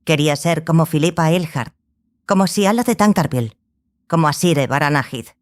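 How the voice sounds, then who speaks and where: A stern, scholarly sorceress played by a woman tells her story in a fantasy audiobook. She is grave and obsessive, with a measured delivery and deliberate pauses. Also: sits low, close to an everyday voice. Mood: wistful, bitter. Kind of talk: dramatized audiobook dialogue.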